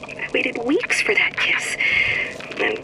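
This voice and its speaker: A woman's voice: sits high, huge pitch swings, takes it slowly, very noisy, heavily acted. An actress voicing an anxious, fussy, exacting upper-class mother in a video game audio diary. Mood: disgusted, anguished. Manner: fretful, exacting.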